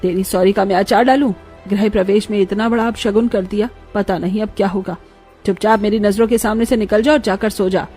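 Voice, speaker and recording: A woman voicing a scornful, sharp-tongued middle-aged mother-in-law who berates her family in a cartoon drama. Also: medium pitch, normal speed, slightly rough, soft background music. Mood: angry scolding. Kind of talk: Hindi animated family-drama voice acting.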